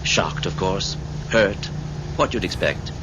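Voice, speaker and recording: An actor playing a calm, courtly, meddling male ghost in an old-time radio drama, courteous and knowing. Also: sits low, slow. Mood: matter-of-fact. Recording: dull old radio drama, loud vehicle noise.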